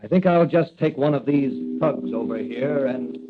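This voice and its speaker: An actor playing a bold, wisecracking masked crime fighter in an old-time radio adventure serial, with a punchy, confident, declamatory delivery. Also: male voice, mid-range voice, lively intonation, normal speed, heavy background noise, close to an everyday voice. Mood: resolute. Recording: tinny vintage radio recording.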